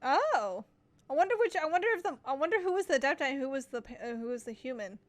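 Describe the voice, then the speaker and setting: A chatty woman streamer with a high voice. She comments casually on a game as she plays, reacting and thinking out loud. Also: very animated, fast talker. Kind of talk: casual live playthrough commentary.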